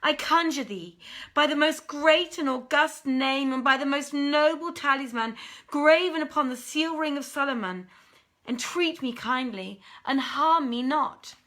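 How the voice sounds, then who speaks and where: A woman's voice: sits high, measured pace, pushed and bright, pitched above the performer's own voice. A woman voicing a frightened male jinn who begs for mercy in formal, archaic storybook language. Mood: fearful pleading. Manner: pleading, urgent, archaic formal speech.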